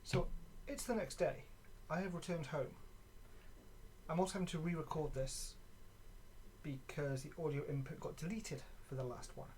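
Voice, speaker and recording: A gaming video creator with a medium-pitched voice talks in a casual, off-the-cuff way. The delivery is halting and conversational, as in a show-and-tell vlog. There is some noise behind the voice. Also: darkish tone. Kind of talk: casual vlog chat showing items. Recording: clearly noisy background.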